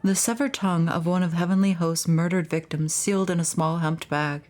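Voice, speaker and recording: A woman's voice: fairly low pitch, fairly even intonation, fairly quick, a little mellow. A woman game streamer reading game text aloud and commenting as she plays.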